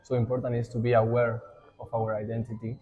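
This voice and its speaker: A man hosting a podcast who asks open questions and fills his guest's pauses with short agreeing replies. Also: mid-range voice, completely flat delivery, dark tone, highs cut off. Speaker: podcast host. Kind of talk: casual podcast interview.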